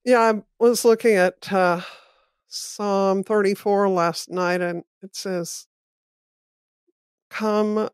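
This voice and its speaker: A woman's voice: medium pitch, steady tone, clear, resonant tone, no room sound, studio quality. A woman hosting a podcast, speaking thoughtfully and affirmingly as she reflects on a text. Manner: thoughtful, affirming, slow and measured. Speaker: podcast host. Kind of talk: podcast interview conversation.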